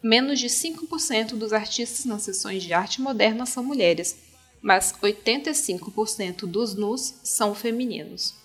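A woman's voice: on the high side, fairly bright tone. A woman with a Brazilian accent presenting a podcast in scripted narration, articulate and opinionated.

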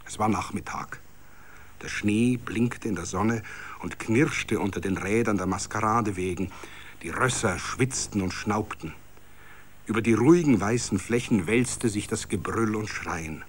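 A man's voice: fairly low pitch, unhurried, slight background noise. A man narrating a literary story for radio.

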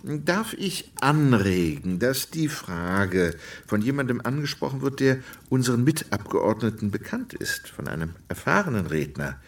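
An actor playing a vain, bombastic, quick-tempered count and politician in a radio drama, condescending and theatrical. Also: male voice, medium pitch, big pitch swings, far from the mic. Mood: smooth, condescending. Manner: theatrical, pompous, swinging from smooth to blustering. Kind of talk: German radio drama acting.

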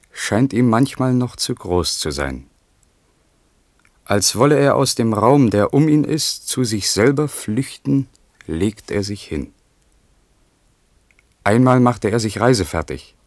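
An actor playing a grave, sober chronicler, narrating deliberately in a radio play. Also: male voice, mid-range voice, expressive, no echo. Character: sober, grave chronicler of a troubled poet's life.

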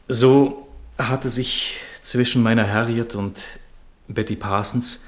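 A man's voice: medium pitch, steady tone, slow pace, close to an everyday voice. A man in a German radio play acting a bitter, indignant husband who gives his account in a formal, scornful manner. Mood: controlled, apologetic.

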